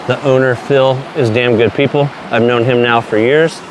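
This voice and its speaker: A man's voice: medium pitch, steady tone, normal speed, noisy background. A man in his late forties casually reviewing shaving products, enthusiastic and plainspoken, with some mild swearing. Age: 40s. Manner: enthusiastic, plainspoken, mildly profane.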